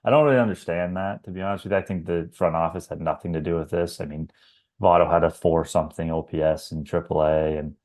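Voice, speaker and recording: Podcast co-host, 30s man from Canada, deep voice, easygoing sports chat. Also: steady tone, quick pace, a little mellow. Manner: conversational, rambling with fillers.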